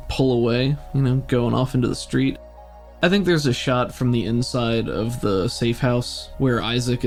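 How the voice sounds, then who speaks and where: Male game master, creaky voice at middle pitch, improvised descriptive scene-setting for a tabletop RPG. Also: darkish tone.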